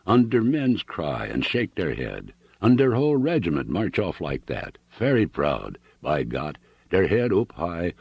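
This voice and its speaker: A sentimental, proud German immigrant farmer in middle age, as a male narrator puts him on, speaking thick German-accented broken English. The voice is deep and husky, with a lively rise and fall. The recording sounds dull and dry. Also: no echo, heavily acted.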